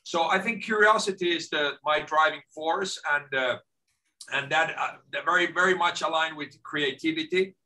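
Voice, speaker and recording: A middle-aged man from Finland, a guest on a video call from his home office, talking in a lively way and often repeating words as he starts a sentence. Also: a little high, normal speed, low-quality recording. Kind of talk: casual video-call interview.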